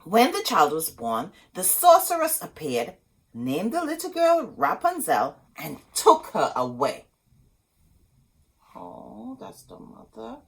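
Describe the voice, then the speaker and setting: A woman's voice: fairly low pitch, gravelly, slight background noise, rough recording. A woman hosting a children's storytime, reading a storybook expressively and talking directly to young listeners. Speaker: children's storytime host and reader. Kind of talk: children's storybook read-aloud with asides.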